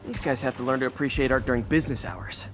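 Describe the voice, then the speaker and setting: An actor playing a boyish, good-humoured young superhero who quips through video game dialogue. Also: male voice, mid-range voice, quick pace, natural voice. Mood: wry, quipping.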